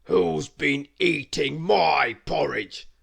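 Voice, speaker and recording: A storybook reader voicing a big, gruff papa bear who booms out demands. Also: slow, full character voice. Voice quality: gruff, loud. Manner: demanding, booming.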